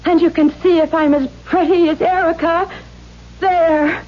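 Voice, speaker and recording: A woman's voice: extremely high pitch, steady tone, measured pace, darkish tone. An actress playing a timid, innocent younger sister with a nervous, girlish manner in a 1970s radio drama. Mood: coy, girlish. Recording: muffled, faintly noisy vintage radio broadcast.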